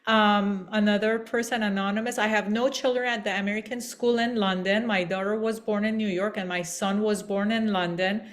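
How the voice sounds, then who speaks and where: Halting middle-aged woman from Iran, co-hosting. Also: mid-range voice, steady tone. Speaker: webinar co-host relaying participants' comments. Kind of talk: reading out comments and chatting informally.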